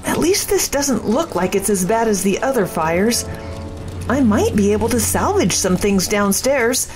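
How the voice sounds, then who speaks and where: A woman's voice: mid-range voice, animated, a little slow, lightly acted, poor audio. A woman acting in an audio drama as a shaken, grateful neighbourhood woman rescued from a fire. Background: faint background music.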